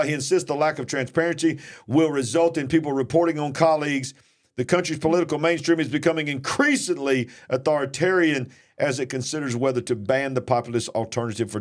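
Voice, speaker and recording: A man with a Southern US accent hosting a live online show, talking in a casual, joking, folksy way. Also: on the high side. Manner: jokey, folksy, mocks fake accents. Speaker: host. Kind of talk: casual live-stream commentary.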